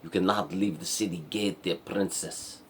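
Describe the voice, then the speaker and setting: A man's voice: low voice, fairly even intonation, normal speed, a bit bright, slight background noise. A man voicing an insistent palace gate guard who pleads in a pompous, formal way.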